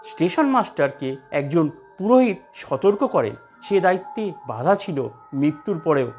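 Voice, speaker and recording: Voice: mid-range voice, expressive, normal speed, darkish tone, faint music behind. A narrator reading a scripted horror story.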